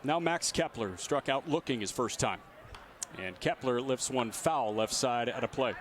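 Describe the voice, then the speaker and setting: A man works as a radio baseball announcer, calling the game live. His voice sits at a middling pitch. Faint outdoor stadium ambience runs behind the commentary. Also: animated, normal speed, background noise. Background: ballpark ambience.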